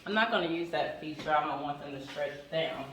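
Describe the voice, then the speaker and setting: A woman with a raspy voice chatting casually in a home vlog, full of ums and restarts, with her throat a little sore. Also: low voice, expressive, brisk, distant mic, background noise. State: throat trouble, clears throat. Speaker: vlogger.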